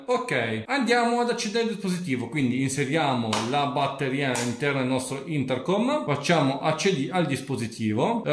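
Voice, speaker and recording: A young man presents an informal, step-by-step product tutorial indoors. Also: a little high. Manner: informal, instructional. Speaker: tech reviewer and tutorial presenter. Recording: roomy indoor echo.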